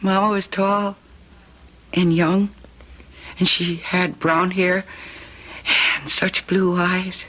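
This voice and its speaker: A woman's voice: fairly low pitch, level tone, takes it slowly, pauses often, a bit bright. An actress playing a wistful, dreamy young married woman in an old-time radio drama, with a childlike earnestness. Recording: dull lo-fi radio recording.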